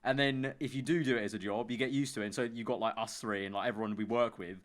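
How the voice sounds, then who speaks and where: A young man chatting as a podcast guest, talking loudly and enthusiastically with quick laughs. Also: medium pitch, fairly even intonation. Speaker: podcast guest, YouTube creator. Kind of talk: casual podcast chat.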